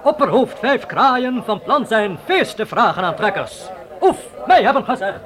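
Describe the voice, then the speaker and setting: An actor playing a young Dakota messenger in a radio drama, speaking urgently in halting, clipped broken phrases. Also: mid-range voice, sing-song, crowd noise. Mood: urgent, proud.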